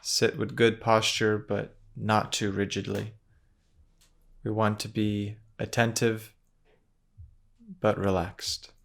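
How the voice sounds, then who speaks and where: A man with a low voice guides a meditation at a very slow pace. He speaks gently and in a measured way, leaving long silences between short phrases. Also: little pitch movement, creaky.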